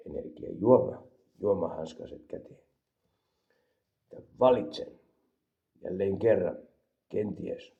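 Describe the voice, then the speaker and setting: A man from Finland with a Finnish accent, rambling deadpan through an absurd comedic product review and mixing languages. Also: fairly low pitch, gravelly, phone-quality sound. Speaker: vlogger reviewing an energy drink.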